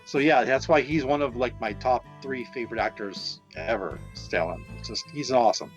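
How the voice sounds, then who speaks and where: A man's voice: medium pitch, normal speed, gravelly, faint music behind. A man co-hosting a movie podcast in a laid-back, rambling way. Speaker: podcast co-host. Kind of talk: casual movie podcast chat.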